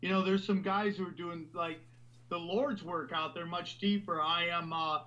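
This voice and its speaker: A man hosting a podcast, talking loudly and animatedly in a rambling, casual way. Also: high-pitched, steady tone, moderate pace, highs cut off. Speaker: podcast host.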